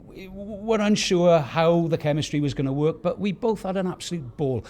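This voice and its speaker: A man's voice: a little high, moderate pace, darkish tone. A middle-aged or older man, a stage actor, giving chatty interview answers backstage. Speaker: pantomime actor, award winner. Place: backstage at an awards venue.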